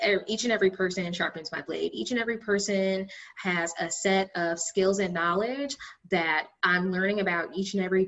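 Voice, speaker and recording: Female guest, lilting and chatty, lower register, dull recording. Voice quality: high-pitched by her own account. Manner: sing-songy, laughs often. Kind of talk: casual conversation. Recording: dull lo-fi sound.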